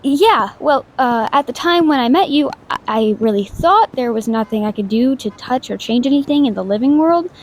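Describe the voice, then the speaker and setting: A woman's voice: high-pitched, animated, moderate pace. A woman voicing a shy, awkward young female ghost in an intimate audio drama, stammering, hesitant and apologetic, anxious but kind. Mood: tentative. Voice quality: soft stammer. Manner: hesitant, apologetic, self-doubting, rambling.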